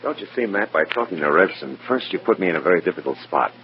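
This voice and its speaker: An actor playing a wary, dry federal contact in an old radio drama, cautious and matter-of-fact. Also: male voice, sits low, expressive, fast talker. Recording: dull, noisy vintage radio recording.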